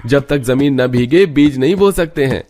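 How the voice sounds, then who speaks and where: A man's voice: mid-range voice, normal speed, darkish tone, slight background noise, close to an everyday voice. A man playing a brash, breezy young village farmer in a cartoon story, talking in an emphatic colloquial way. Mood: earnest.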